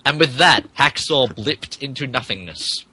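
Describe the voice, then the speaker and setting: A man's voice: medium pitch, lively intonation, a little slow, sharp tone, husky, poor audio. A man narrating a comic dramatic reading of fan fiction on a podcast.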